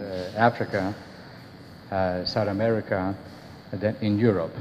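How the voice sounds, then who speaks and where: An older man in perhaps his seventies giving halting, deliberate unscripted remarks as a panelist into a handheld microphone. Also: lowish voice, a little mellow. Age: older, about seventies. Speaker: panelist, business executive. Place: panel table in brick-walled indoor hall. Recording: echoey hall, dull sound, faint noise.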